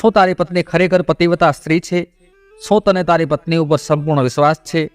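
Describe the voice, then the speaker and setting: A man's voice: a little high, steady tone, fast talker, clear, resonant tone, faint music behind, natural voice. A man voicing a stern king holding court, speaking with probing authority in a moral tale.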